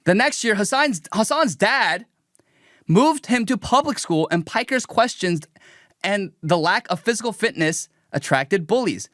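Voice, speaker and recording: A man's voice: high voice, lively intonation, a little slow, a bit bright, no room sound, studio quality. A young man streaming a mocking, combative political rant, talking emphatically as a show host. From raised in the United States. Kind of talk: livestream reaction rant.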